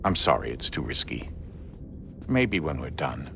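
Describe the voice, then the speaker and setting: An actor playing a middle-aged assassin father speaking haltingly and apologetically to his grown son in a game cutscene. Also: extremely low pitch, big pitch swings, gravelly, bright, forceful tone, slight background noise, exaggerated voice. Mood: firm. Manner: halting, apologetic, sometimes whispered. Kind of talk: video game cutscene acting. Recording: game score behind voice.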